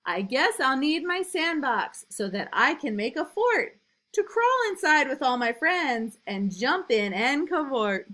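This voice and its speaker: A woman reading a rhyming storybook as an eager, imaginative little boy, in an emphatic sing-song with drawn-out line endings. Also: sits high, very animated, pushed and bright, voice pushed up, exaggerated voice. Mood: eager, excited. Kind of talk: rhyming storybook read aloud.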